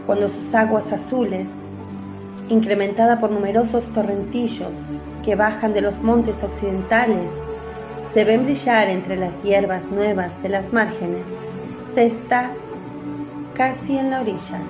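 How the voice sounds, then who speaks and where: A woman's voice: mid-range voice, unhurried, over music. A woman reading scripted narration for a biblical radio drama.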